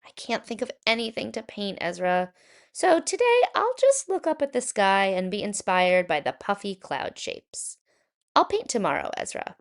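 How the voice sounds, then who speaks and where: A woman voicing an earnest, worried male painter in a children's picture book, with animated, expressive delivery. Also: mid-range voice, moderate pace. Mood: dreamy, procrastinating.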